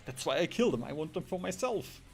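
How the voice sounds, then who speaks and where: A man's voice: a little high, expressive, a little fast, creaky. A man doing casual gaming stream commentary in a rambling, jokey way, swearing casually. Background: background music.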